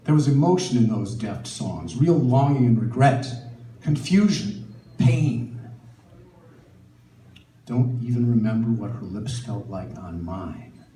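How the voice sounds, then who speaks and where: A man's voice: medium pitch, expressive, takes it slowly, long pauses, dark tone. A middle-aged American man reading his own personal memoir aloud at a live literary reading. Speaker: author reading from own book. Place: live event in a gallery. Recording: echoey live room, distant mic, faint noise.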